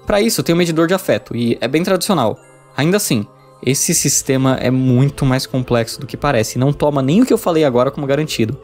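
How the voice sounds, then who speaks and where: A man's voice: medium pitch, animated, quick pace, a little mellow. A man with a Brazilian accent reading a casual, slangy scripted game review. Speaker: video essay narrator, game reviewer. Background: music.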